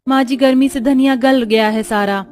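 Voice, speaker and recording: A woman's voice: high-pitched, fairly even intonation, moderate pace, darkish tone, natural voice. A woman voicing resourceful, cheerful young village daughters-in-law in a storybook tale, homely and eager. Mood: eager, practical.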